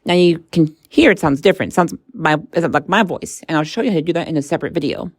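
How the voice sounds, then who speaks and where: A woman's voice: deep voice, fast, husky, dry recording, studio quality. A woman presenting a casual hands-on gadget review, informal and a little tentative as she thinks aloud.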